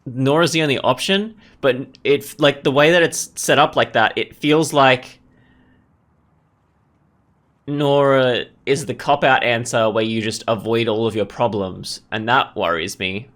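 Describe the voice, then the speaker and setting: A man's voice: medium pitch, measured pace, fairly bright tone. A man hosting a gaming video, giving casual, rambling commentary as he thinks aloud. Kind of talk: casual let's play commentary.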